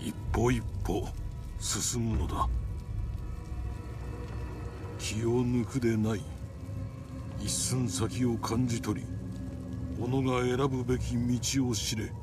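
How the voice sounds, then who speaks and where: An actor playing a stern, calm older samurai sword master in a game, solemn, measured and commanding. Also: male voice, mid-range voice, over music, lightly acted. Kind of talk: samurai game drama acting.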